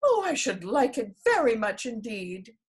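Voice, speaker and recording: A woman's voice: a little high, sing-song, a little slow, breathy edge, voice pushed up, full character voice. A woman voicing a polite, eager and cheeky young male elephant child in a children's storybook reading. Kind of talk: children's storybook read aloud.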